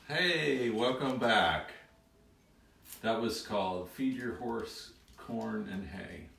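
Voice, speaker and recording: A man with a deep voice speaks in a relaxed, plainspoken way as he hosts the session. He sounds a little away from the microphone in an echoey space, with faint noise underneath. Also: slow, creaky. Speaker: fiddler and host. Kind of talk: casual chat between songs. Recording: roomy, distant mic.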